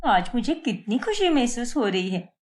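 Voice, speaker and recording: A woman's voice: on the high side, big pitch swings, normal speed. Woman putting on a clingy, petulant young girlfriend. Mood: gushing. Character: pampered, possessive young girlfriend, impatient and clingy. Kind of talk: Hindi cartoon moral-story voice acting.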